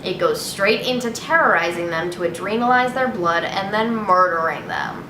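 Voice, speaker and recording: A woman's voice: lively intonation, moderate pace, far from the mic. A young woman hosting a casual show, reading news aloud with irreverent, sarcastic, sweary commentary. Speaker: host. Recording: echoey room with noticeable rumbling noise.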